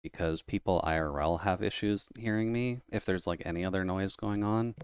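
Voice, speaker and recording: A man in casual chat while gaming, talking quietly with a low-energy, mumbled delivery over a voice call. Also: moderate pace, smooth, dry recording, studio quality. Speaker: streamer's guest playing a game.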